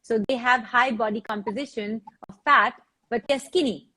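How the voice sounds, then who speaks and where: A woman's voice: on the high side, moderate pace, bright, forceful tone, poor audio. A woman, explaining as a fitness trainer on a discussion panel, repeating words as she thinks aloud. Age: 40s.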